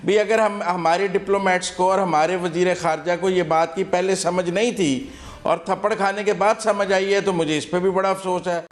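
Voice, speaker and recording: Indignant high-pitched male TV pundit. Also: steady tone, normal speed. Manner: indignant, sarcastic. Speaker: political analyst. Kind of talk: heated TV commentary.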